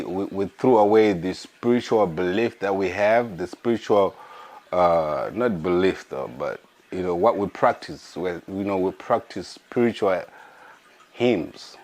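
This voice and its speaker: Deep-voiced hoarse man, slow. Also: darkish tone. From Africa. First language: an African language. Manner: slow, searching, with restarts. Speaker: podcast host. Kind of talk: casual monologue.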